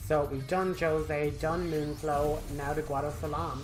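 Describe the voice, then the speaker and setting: A man gives casual walkthrough commentary over game music. He is chatty and wry, in a voice of middling pitch for his range. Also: steady tone, measured pace. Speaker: gaming walkthrough host.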